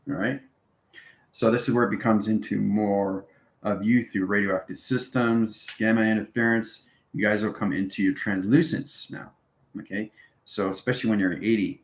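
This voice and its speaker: A man's voice: fairly low pitch, creaky. A man giving an informal live lesson to viewers as an online teacher, repeating himself and often checking in with "okay" and "right". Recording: roomy, echoing room sound.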